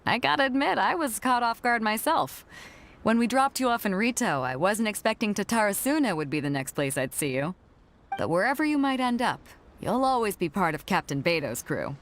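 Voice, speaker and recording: An actress playing a bold, easygoing ship captain in a video game, talking in an assured, casual, protective way. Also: female voice, mid-range voice, sing-song, normal speed, a bit bright, slight background noise. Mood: confident, friendly.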